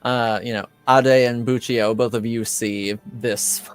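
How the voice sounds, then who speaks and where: Man, game master, slow and hesitant improvised narration, heavy on fillers, music underneath. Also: medium pitch, little pitch movement, smooth, dry recording. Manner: halting, hesitant delivery with very frequent filler words.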